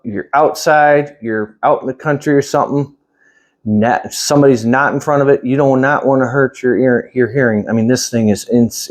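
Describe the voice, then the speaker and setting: A man with a mid-pitched voice walks through a product in a casual demo. He talks plainly and rambles a little, thinking out loud as he handles things indoors at home. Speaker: product reviewer. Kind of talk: casual hands-on product demonstration.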